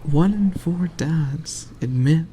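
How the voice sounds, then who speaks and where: A man reading aloud in a soft ASMR bedtime style, hushed and often slipping into a whisper. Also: on the high side, slow pace, a little hiss or hum.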